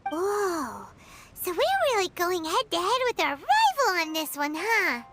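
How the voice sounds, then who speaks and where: A woman voicing a tiny, bubbly, chatty fairy companion in a video game, with a high, squeaky, childlike voice. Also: wildly swooping pitch, a little slow, a bit bright, full character voice. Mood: curious. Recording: game audio over background music.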